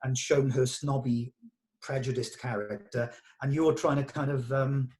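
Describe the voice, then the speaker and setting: A man's voice: medium pitch, fairly even intonation, measured pace, a little mellow. Guy running a table read, normal mid voice, explaining the scene and telling actors what to do, pretty casual. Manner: explanatory, directive. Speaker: director leading a script table read. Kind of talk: casual script discussion with actors.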